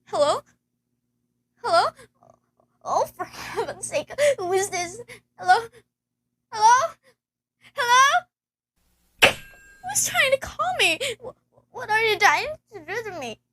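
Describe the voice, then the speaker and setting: A woman's voice: very high voice, very animated, slow, pauses often, pushed and bright, a little hiss or hum, exaggerated voice. A woman playing a nervous, demanding invalid wife in an amateur radio play, stammering and insistent. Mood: frantic, exasperated.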